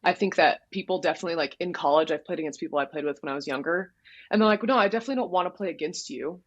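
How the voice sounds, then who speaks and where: A young woman from Texas, a college goalkeeper telling stories in a loud, direct, animated way during a casual interview. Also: fairly low pitch, racing, dull audio. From Dallas, Texas. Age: young adult. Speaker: soccer goalkeeper, guest.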